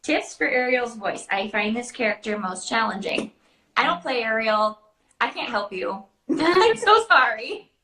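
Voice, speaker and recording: A woman's voice: a little high, big pitch swings, measured pace, sharp tone. A young adult woman chatting casually as a host and answering questions. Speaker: host, princess party performer. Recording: roomy, echoing sound.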